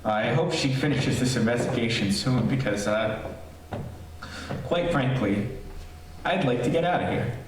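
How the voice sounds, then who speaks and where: A man playing a nervous radio reporter in a haunted house, halting and confiding in his listeners, in old-time radio horror style. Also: mid-range voice, close to an everyday voice. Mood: jumpy. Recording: echoey distant stage recording, faint noise.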